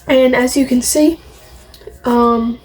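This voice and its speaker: A woman's voice: sits high, fairly even intonation, takes it slowly, a little mellow, slight background noise. A woman vlogging casually to camera, showing off a collection in a rambling, opinionated way and repeating phrases. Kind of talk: casual show-and-tell to camera.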